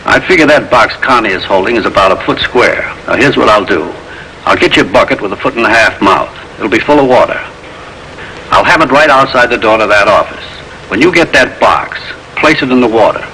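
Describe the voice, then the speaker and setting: An actor playing a methodical, matter-of-fact American police lab lieutenant in an old radio drama. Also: male voice, on the low side, lively intonation, fairly quick, bright, forceful tone. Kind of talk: mid-century police radio drama acting. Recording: faintly noisy old radio recording.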